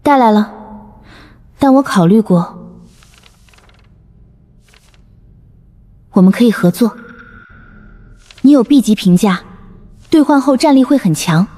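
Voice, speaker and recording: A young female survivor in an animated drama, with a mellow, slightly high voice. She is composed and persuasive, explaining herself in measured, earnest phrases. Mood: negotiating. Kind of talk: scripted animated drama acting.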